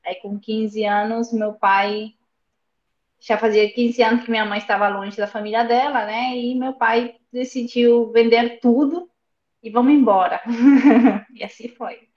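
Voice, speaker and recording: A woman's voice: fairly high pitch, steady tone, a little slow, darkish tone. A woman with a slight Argentine accent, a guest telling her family story in a chatty way over earphones and a phone on a remote call. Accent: slight Argentine Spanish. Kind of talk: casual storytelling interview. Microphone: earphones with phone in front. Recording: echoey, dull remote-call audio.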